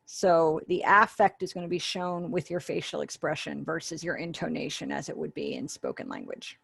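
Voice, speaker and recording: A woman's voice: low-pitched, very animated, normal speed, gravelly, a bit bright, breathy edge, no room sound. A woman giving an online sign language lesson over a webinar call, patiently explaining and acting out example phrases. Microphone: webinar video call.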